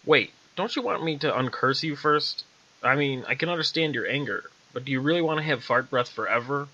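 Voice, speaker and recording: Wry storybook witch, sassy and casual, bright tone performed by a man, slightly dull recording. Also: mid-range voice, a little fast.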